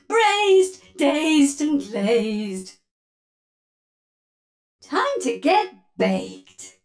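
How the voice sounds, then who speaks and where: A woman's voice: very high voice, heavily acted. A woman playing a feisty, sassy robot oven mom who bakes and fights, delivering theatrical punning one-liners for a video game. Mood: dramatic, sassy. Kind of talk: video game character voice lines.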